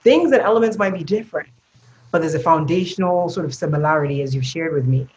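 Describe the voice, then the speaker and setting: A podcast host who praises the guest warmly and asks long, winding questions. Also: mid-range voice, fast, breathy edge, dull audio. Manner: effusive, affirming, rambling questions. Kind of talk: podcast interview.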